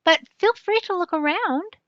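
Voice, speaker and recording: A woman's voice: very high-pitched, normal speed, a bit bright, dry recording. An older woman voicing a flock of friendly, chatty birds in a children's storybook. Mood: breezy. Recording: dull lo-fi dry recording.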